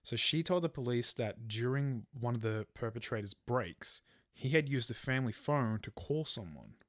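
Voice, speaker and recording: A man's voice: mid-range voice, expressive, moderate pace, dry recording, studio quality. A man telling a true crime story as a podcast host, partly from a script. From Australia.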